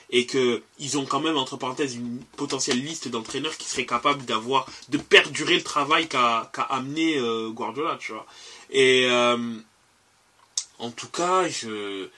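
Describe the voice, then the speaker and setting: A man's voice: mid-range voice, pushed and bright. A man hosting a casual football podcast, talking in a hesitant way with many fillers and restarts. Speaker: podcast host.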